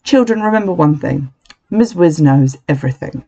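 A reader playing a brisk, mysterious witchy teacher. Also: mid-range voice, expressive, gravelly, highs cut off. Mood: brisk, authoritative. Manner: quiet but firm, brisk.

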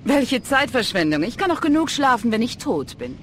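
A woman's voice: on the high side, sing-song, normal speed, slight background noise. A woman playing a serious, businesslike and sceptical police investigator in an audio drama. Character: serious, driven female police investigator Carla. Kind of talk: audio drama acting of a video game story. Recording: music under the voice.

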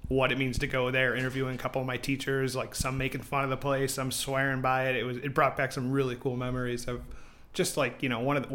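A man's voice: medium pitch, a bit bright. American man in his late thirties, chatting casually. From Rochester, New York, United States. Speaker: podcast guest. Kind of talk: casual anecdotal podcast chat.

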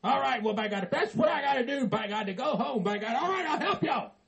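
A man's voice: very high voice, lively intonation, fast talker, vocal fry, crowd noise, full character voice. A man playing a grumpy, profane middle-aged man who hates Christmas, blustering and exasperated. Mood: grudging. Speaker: comedy character.

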